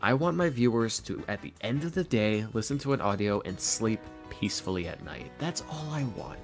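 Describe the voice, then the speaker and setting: Earnest low-voiced man over soft music. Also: expressive, normal speed. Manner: earnest, self-deprecating, confiding. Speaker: podcast guest, ASMR roleplay audio creator. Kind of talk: casual, candid interview talk.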